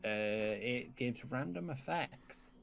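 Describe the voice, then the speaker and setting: A man playing an evasive, dry-humoured survivor in a zombie game roleplay, speaking in a halting, understated way. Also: mid-range voice, expressive, gravelly, a bit bright, slight background noise, full character voice. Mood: explanatory. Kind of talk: improvised roleplay dialogue.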